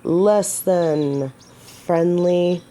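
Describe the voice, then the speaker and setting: A woman's voice: fairly low pitch, extremely slow, mellow. A woman playing a noble, humble lady knight in an audio drama, courteous and protective, with formal, old-fashioned courtly phrasing. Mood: earnest, gallant. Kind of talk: scripted roleplay audio drama to the listener.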